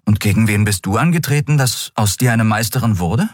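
Man voicing a husky, downcast young boy. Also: lowish voice, animated, fairly quick, no echo, studio quality, pitched above the performer's own voice. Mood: curious. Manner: resigned, hesitant. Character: dreamy, downcast boy who longs to be a hero. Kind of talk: audiobook character voice.

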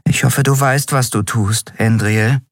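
A man's voice: mid-range voice, steady tone, a little slow, no echo, clean studio sound, pitched above the performer's own voice. A man voicing a tiny, worried female winged creature in an audiobook. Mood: uneasy.

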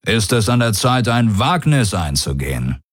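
A bold alien warrior lord played by a male narrator, pitched well above his plain voice in a dry studio sound. He is commanding and self-assured, with cool mockery, in science-fiction audiobook style. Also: sing-song, normal speed, dry recording. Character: proud, bold alien warrior warlord, coolly mocking. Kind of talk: audiobook character dialogue.

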